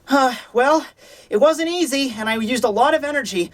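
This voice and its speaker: Man putting on a high, hapless anime girl. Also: moderate pace, a bit bright. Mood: weary, relieved. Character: earnest, hapless young female anime fighter.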